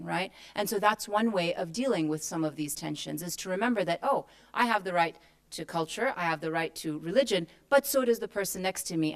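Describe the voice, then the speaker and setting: A woman's voice: on the low side, creaky. A woman from Kuwait speaking as a panelist at a forum, answering a question in an earnest, explanatory way and posing rhetorical questions. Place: conference hall.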